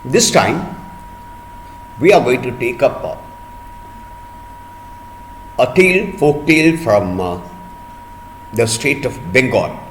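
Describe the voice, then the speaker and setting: A man telling a folk tale to children in a deliberate manner, pausing often and repeating or explaining words as he goes. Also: mid-range voice, animated, a bit bright, slight background noise, rough recording. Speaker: storyteller for children. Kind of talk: storytelling for children, with word explanations.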